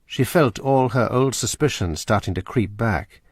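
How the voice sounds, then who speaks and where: A man narrating a radio drama in a measured, even way. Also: medium pitch, slightly rough, dry recording, studio quality.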